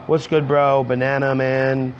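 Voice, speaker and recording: A young man, hoarse from a cold, rambling casually to viewers on a livestream from a coffee shop. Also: mid-range voice, flat delivery, unhurried, slight background noise. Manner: rambling, slangy, talks straight to viewers. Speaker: comedian livestreaming. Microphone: earbud headset mic on a phone. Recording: dull lo-fi phone stream.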